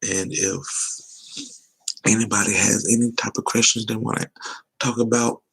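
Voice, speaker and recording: A man chatting loosely and teasingly on a sports talk livestream, with a voice he says is going hoarse. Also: lowish voice, flat delivery, normal speed, a bit bright, poor audio. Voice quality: gravelly, husky. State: losing his voice. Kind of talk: casual livestream chat.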